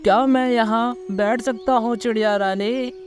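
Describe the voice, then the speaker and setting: A man plays a sly vulture from a kids' cartoon tale. He talks slowly in a high male voice with ingratiating politeness, over clearly audible music. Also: steady tone. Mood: polite. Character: male vulture, flattering, wily, brotherly traveller. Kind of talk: children's moral story cartoon dialogue.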